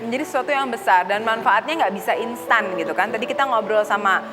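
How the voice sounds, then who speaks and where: A woman's voice: on the high side, quick pace, in a large hall, music in the background. A woman hosting a talk show, chatting casually with guests and prompting them with many fillers. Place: studio set styled as a family room.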